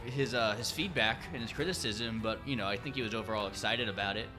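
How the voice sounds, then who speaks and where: A man's voice: lowish voice, fast talker, over music. A man co-hosting a casual podcast, rambling and hesitant, often acting out what people said. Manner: rambling, hesitant, acts out quotes. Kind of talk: casual chat.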